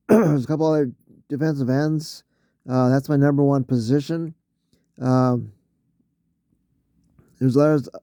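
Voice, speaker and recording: A man losing his voice, talking casually through a solo mock draft, halting with fillers and often clearing his throat. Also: medium pitch, dark tone, no room sound, studio quality.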